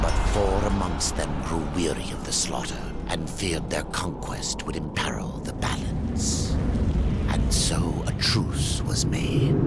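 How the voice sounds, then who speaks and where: Deep, hushed solemn fantasy narrator. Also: male voice. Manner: grave, deliberate, portentous delivery. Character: solemn male narrator telling dark fantasy legend. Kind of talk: scripted dramatic video game narration. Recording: loud music under the voice.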